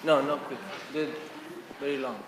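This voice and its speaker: A man's voice: mid-range voice, fairly even intonation, normal speed. Distant man in a roomy, noisy hall. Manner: playful, teasing. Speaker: guest speaker. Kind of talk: casual greeting. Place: lecture room at a meditation centre.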